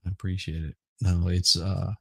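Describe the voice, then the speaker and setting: Hoarse, gravelly low-voiced man. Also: flat delivery, moderate pace, dry recording. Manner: rambling, repeats words and restarts. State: hoarse from smoke. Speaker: podcast host. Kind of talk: casual livestream chat.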